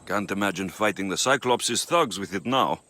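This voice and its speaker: A man's voice: sits low, lively intonation, moderate pace, bright, forceful tone. A man playing a cocky, wry young mercenary with a Greek accent in a video game. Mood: menacing, weary. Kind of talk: video game cutscene acting.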